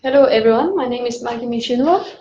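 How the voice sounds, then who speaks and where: A woman's voice: on the high side, fast talker, a little mellow, a little hiss or hum. Bulgarian woman introducing herself, echoey. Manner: friendly, with fillers and small restarts. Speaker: webinar presenter. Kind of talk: self-introduction in a webinar. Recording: echoey, dull lo-fi audio.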